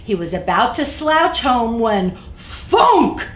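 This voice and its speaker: A woman with a high, very lively voice hosts story time for children. She tells the tale expressively and at an unhurried pace, addressing her young listeners directly. The room is echoey, with faint noise behind her. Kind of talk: animated read-aloud storytelling for children.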